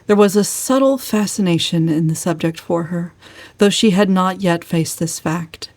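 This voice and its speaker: A woman's voice: fairly low pitch, moderate pace, a little mellow, dry recording. A woman narrating a novel as a dramatic, scripted literary reading. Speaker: audiobook narrator.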